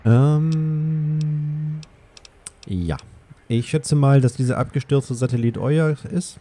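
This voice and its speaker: A man's voice: fairly high pitch, takes it slowly, warm tone. A native German man giving casual commentary as the host of a let's play.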